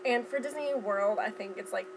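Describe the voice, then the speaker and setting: Young woman, casual vlog, noisy recording. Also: medium pitch. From Southern California. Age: young adult. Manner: informal, halting as she recalls. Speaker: vlogger. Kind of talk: casual chat vlog, recounting from memory.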